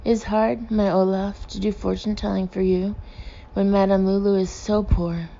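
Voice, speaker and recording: Lady doing a dramatic storybook fortune teller woman, foreign accent, mangled grammar, gushy and wheedling, croaky voice. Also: medium pitch, flat delivery, normal speed, mellow, muffled recording. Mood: pleading. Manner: broken English with odd word order. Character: gushing, pleading female carnival fortune-teller, theatrical foreign English.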